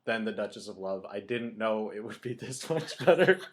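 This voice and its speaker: A man co-hosting a podcast, chatting casually and jokily about a book in an excitable way. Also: on the low side, moderate pace. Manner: excitable, jokey. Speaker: podcast co-host. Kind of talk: casual book-discussion chat.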